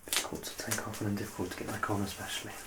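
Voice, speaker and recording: Low-pitched man doing gentle ASMR doctor roleplay, noisy background. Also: level tone, racing. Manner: gentle, reassuring, softly instructing. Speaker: ASMR performer playing a doctor. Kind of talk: ASMR medical exam roleplay.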